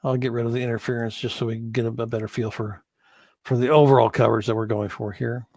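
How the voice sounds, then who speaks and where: Man, instructor, informal software walkthrough, mid-range voice, hesitant and self-correcting, thinking aloud, dry close sound. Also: mid-range voice, little pitch movement, quick pace, no room sound. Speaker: course instructor in church sound.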